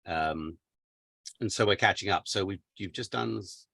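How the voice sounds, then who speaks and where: A man with an English accent, an author guest chatting casually on a podcast, relaxed and laughing easily. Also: low voice, moderate pace, gravelly, breathy edge, no echo. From England.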